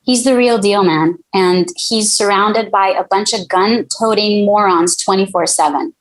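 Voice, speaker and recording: Female actor as irritable music mogul, mid-pitched, casual and evasive, caught on the defensive. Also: measured pace, close to an everyday voice, rough recording. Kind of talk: scripted table read acting.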